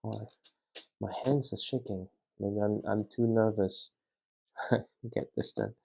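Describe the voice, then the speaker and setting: A man who is not a native English speaker vlogs casually while building a toy set at home, talking quietly and haltingly with many fillers and a dry throat. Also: lowish voice, steady tone, vocal fry.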